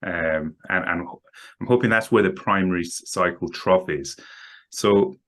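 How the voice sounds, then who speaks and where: Low-voiced hesitant male market guest. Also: a little slow, a bit bright. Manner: hesitant, many ums and repeated words. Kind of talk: casual interview answers.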